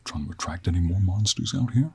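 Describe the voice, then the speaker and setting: A man acts out a stubborn cowboy monster hunter from a fantasy audio drama. His voice is very low and husky, with an American Western drawl. He talks in a gruff, folksy way. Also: animated, quick pace, dark tone, exaggerated voice. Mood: stern, exasperated. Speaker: audio role play performer. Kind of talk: dramatic audio role play.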